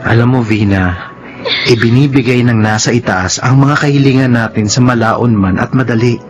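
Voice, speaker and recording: Man acting encouraging friend, medium-pitched voice, reassuring, radio drama, clear music underneath. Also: moderate pace, muffled recording, close to an everyday voice. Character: supportive, wise male friend giving encouragement.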